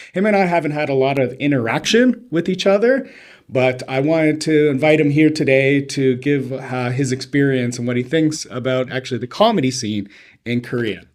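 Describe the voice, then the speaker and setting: A man's voice: medium pitch, normal speed, a little mellow. Chatty male podcast host. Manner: loose and chatty, many fillers and restarts. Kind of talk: casual interview chat.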